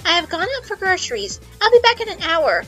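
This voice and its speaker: Sweet storybook housewife, acted by a woman, high-pitched, gentle and affectionate, music underneath. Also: very animated, fairly quick, full character voice, rough recording. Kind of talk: dramatic reading of fan fiction. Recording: music behind the voice.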